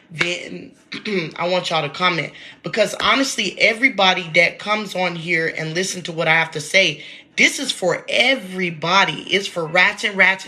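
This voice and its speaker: Congested chatty woman vlogger, bright voice. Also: lowish voice. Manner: chatty, addresses viewers directly. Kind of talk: casual talk to camera.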